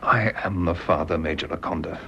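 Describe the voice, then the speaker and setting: Radio drama: earnest English suitor, low register, clipped, muffled with faint noise. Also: male voice, normal speed, fairly bright tone. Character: earnest, devoted lover of his sister-in-law. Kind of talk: British radio drama acting.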